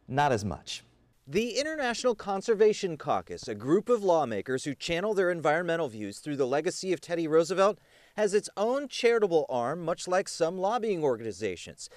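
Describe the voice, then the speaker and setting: A man reading scripted television news as a reporter and anchor, with a punchy, emphatic delivery and dramatic pauses. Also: on the high side, a little mellow, no room sound.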